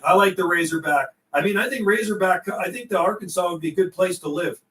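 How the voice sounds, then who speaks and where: A man's voice: sits high, fast talker, a bit bright, echoey room, far from the mic, a little hiss or hum. A middle-aged man chatting casually over CB radio on a livestream, folksy and given to repeating himself. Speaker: CB radio operator and livestream host.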